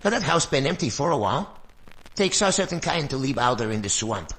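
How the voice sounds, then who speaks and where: A man's voice: mid-range voice, sing-song, fairly quick, a bit bright. A man playing a nosy, rustic swamp local in an audio drama. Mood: suspicious, probing.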